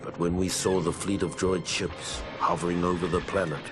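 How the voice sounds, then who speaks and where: An actor playing a weathered veteran clone trooper, narrating his war memoirs for a video game in a measured, grave, wry soldierly manner. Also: low voice, level tone, natural voice. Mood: wry, dry. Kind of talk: scripted in-character journal narration for a game. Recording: music behind the voice.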